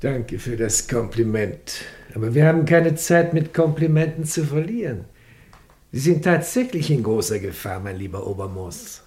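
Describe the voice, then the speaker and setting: An actor playing a worldly, secretive baron in a radio crime drama, speaking with polite, guarded, insinuating courtesy. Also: male voice, medium pitch, expressive, moderate pace, dark tone, lightly acted. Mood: grave warning.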